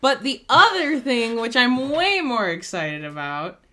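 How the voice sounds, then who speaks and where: An enthusiastic young woman chats casually at home, recorded on a phone propped on a computer. Her voice is bright and lively. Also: on the high side, a little slow. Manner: upbeat, enthusiastic. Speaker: co-host. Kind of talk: casual chat.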